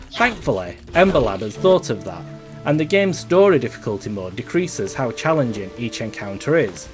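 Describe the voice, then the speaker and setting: Man narrating a review with lively intonation. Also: mid-range voice. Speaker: video game reviewer reading a written review. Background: background music.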